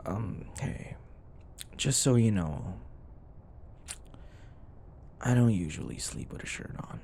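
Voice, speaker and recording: A man acting a teasing, flustered young office rival in an intimate ASMR boyfriend roleplay, halting and sometimes dropping into breathy murmurs and sighs. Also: lowish voice, lively intonation, mellow, slight background noise, full character voice. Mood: reluctant, flustered.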